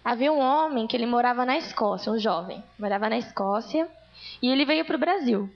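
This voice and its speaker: Gravelly woman giving testimony. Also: a little high, lively intonation, fairly quick, lo-fi sound. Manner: earnest storytelling, addressing congregation. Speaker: church speaker. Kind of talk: testimony sermon. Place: church.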